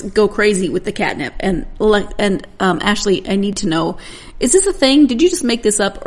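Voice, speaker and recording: Chatty middle-aged woman with a creaky voice. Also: mid-range voice. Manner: rambling, self-deprecating, chatty. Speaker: podcast host. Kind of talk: casual chat to listeners.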